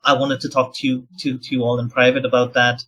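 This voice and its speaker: A man's voice: medium pitch, completely flat delivery, quick pace, a bit bright, lightly acted. A man voicing a nervous young man who stammers and keeps apologizing. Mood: flustered.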